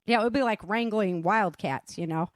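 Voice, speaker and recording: A woman hosting a relaxed, conversational interview as an author and producer. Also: mid-range voice, normal speed, no echo, studio quality. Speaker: host, author and producer of an audio drama. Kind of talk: casual interview chat.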